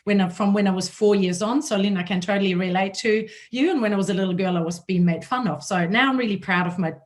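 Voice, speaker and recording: A woman with a German accent and a raspy voice, hosting a panel talk and telling her own story in a conversational way. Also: medium pitch, fast talker. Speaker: host.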